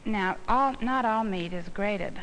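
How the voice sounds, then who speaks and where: An American woman giving an instructional demonstration, explaining things in a deliberate, didactic way. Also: mid-range voice, a bit bright. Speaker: instructional film presenter, home economist. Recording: noisy old instructional film soundtrack.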